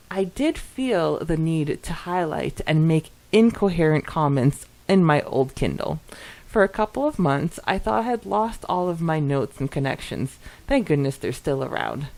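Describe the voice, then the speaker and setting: A woman speaks in a slightly low register, relaxed in wording and giggly in manner. She sounds like a podcast co-host, moving between casual chat and reading listener comments aloud. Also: measured pace, poor audio. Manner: giggly, informal.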